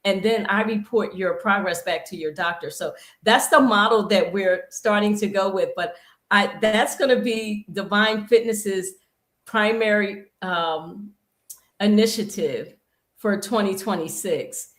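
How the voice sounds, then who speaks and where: Brief: woman guest, fitness pro, rambling with many restarts, middle pitch, echoey room. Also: measured pace, a bit bright. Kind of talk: live-stream conversation.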